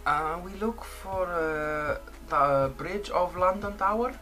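A lost foreign tourist in a comedy sketch, acted out by a young man. The voice sits higher and gropes for words, hesitant and muddled, over clear background music. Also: bright, forceful tone, exaggerated voice. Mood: confused. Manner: halting, repetitive, searching for words.

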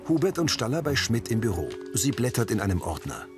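A man's voice: medium pitch, very animated, brisk, music in the background. A man narrating scenes in a scripted, present-tense audio description.

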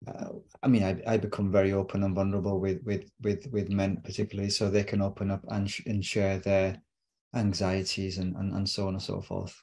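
A man's voice: low voice, completely flat delivery, brisk, darkish tone. Reflective middle-aged man. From Britain. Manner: reflective, repeats words while searching for phrasing. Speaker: relationship coach guest. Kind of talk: casual interview talk. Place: home room with bookshelves. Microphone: video call.

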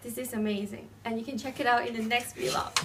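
A young woman from Hong Kong introducing herself playfully with giggles. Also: fairly high pitch. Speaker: vlog maker. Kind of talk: casual self-introduction. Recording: distant camera, clear hiss.

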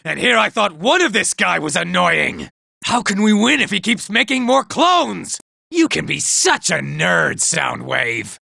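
A man voicing a nervous, boastful male robot soldier in a video game, shouting in panic and tossing out sarcastic asides. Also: sits high, very animated, unhurried, creaky, no echo, full character voice.

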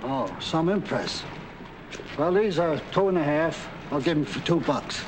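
A streetwise middle-aged flower vendor with a dry, slangy wit. His voice sits high for a man. It carries the steady hiss of a 1940s film soundtrack. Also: measured pace.

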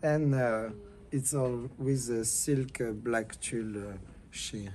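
A young man with a French accent speaks in a soft, mellow tone, giving a casual showroom walk-through. His English is hesitant yet enthusiastic, and faint room noise sits behind him. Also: medium pitch, slow pace. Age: young adult. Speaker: fashion designer presenting a collection.

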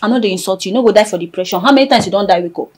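A quick, high-voiced, needling woman played by an actress speaking Nigerian Pidgin. She is heard from a distance in an echoey room. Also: a little mellow, close to an everyday voice. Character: sharp-tongued young woman friend, mocking and meddling. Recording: echoey room from far off, faint noise.

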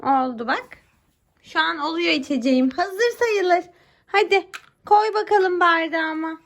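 High, bright-voiced mother playing with her child. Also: measured pace. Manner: coaxing, encouraging, asking simple questions. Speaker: mother playing pretend with her young son. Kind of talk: casual playful chat with a small child.

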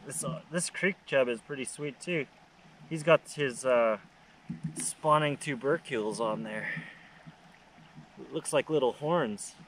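A man in his forties chats excitedly about his catches while fishing outdoors. He talks at a medium pitch into an action camera. Clear rushing creek water runs behind him. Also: poor audio. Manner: excited, upbeat commentary on catches. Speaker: fishing channel host. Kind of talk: casual outdoor fishing vlog. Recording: outdoor camera audio with loud stream noise.

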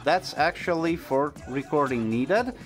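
A man in his thirties who is not a native English speaker, explaining things hands-on as a video host, in a halting, hesitant way with frequent pauses. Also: fairly high pitch, lively intonation, soft background music. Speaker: host of vintage audio videos. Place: small indoor room at home.

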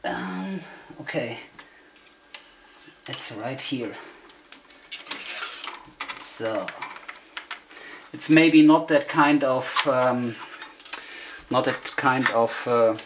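Male, German accent, native German speaker. Casual demonstrator, hesitant and self-conscious, mid-pitched, on noisy amateur camera audio. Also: very slow, pauses often. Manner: hesitant, self-conscious, searching for English words. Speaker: hobbyist demonstrator. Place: indoor room, beside tape machines. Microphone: camera microphone.

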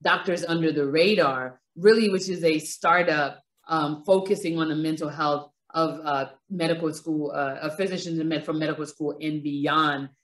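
A woman's voice: deep voice, fairly even intonation, moderate pace, hardly any pauses. A woman with a Caribbean accent hosting a podcast from a small room over a video call, talking with many fillers and restarts. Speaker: podcast host. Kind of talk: podcast introduction and interview. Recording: roomy echo.

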